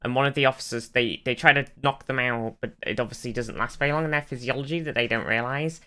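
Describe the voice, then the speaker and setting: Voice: mid-range voice, fast talker, pushed and bright. An informal, enthusiastic podcast co-host who swears freely and chats casually like a devoted fan. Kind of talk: casual chat, recounting TV episodes.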